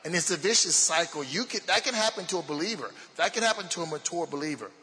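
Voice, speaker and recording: Male Baltimore pastor, raised pitch, bright tone, teaching style, long hall reverb. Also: animated, fast talker. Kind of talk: panel discussion, preaching.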